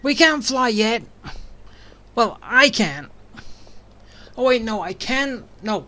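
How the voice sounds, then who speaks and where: A game streamer giving casual playthrough commentary in muttered, deadpan asides. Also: mid-range voice, slow. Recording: game music behind the voice.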